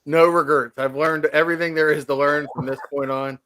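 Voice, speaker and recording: A man's voice: fairly high pitch, fairly even intonation, brisk, pushed and bright, no echo. A man co-hosting a casual movie podcast in a laid-back, joking way. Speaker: podcast co-host.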